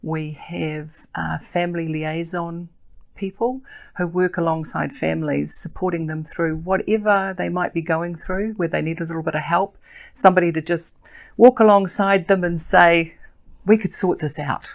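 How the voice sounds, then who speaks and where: Low, gravelly older New Zealand woman. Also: steady tone. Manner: earnest and measured, with frequent fillers. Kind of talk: interview answers.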